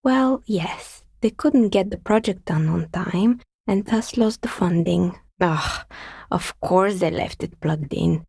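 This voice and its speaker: A calm, wry artisan woman in a video game, a maker of novelty dice. Her voice is breathy and husky with a gravelly creak, captured close and dry. She talks in a measured, understated way. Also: fairly low pitch, dry recording, heavily acted. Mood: dry, unimpressed. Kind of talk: video game character dialogue.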